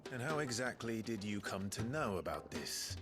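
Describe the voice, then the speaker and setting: An actor playing a grave, guarded young warrior in a fantasy video game, serious, measured and probing. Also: male voice, lowish voice, very animated. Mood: wary, sceptical. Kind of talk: video game cutscene acting. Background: music.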